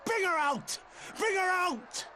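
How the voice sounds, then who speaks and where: An actor playing a vengeful commoner leading a mob in a fantasy game, shouting and accusatory. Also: male voice, extremely high pitch, expressive, slow, some chatter behind, a little hiss or hum, heavily acted. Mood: furious.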